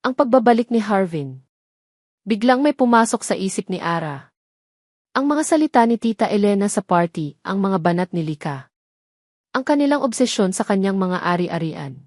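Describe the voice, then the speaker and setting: A woman's voice: mid-range voice, animated, normal speed, a little mellow, no room sound, studio quality. A woman reading scripted, dramatic story narration as the narrator of an audio tale.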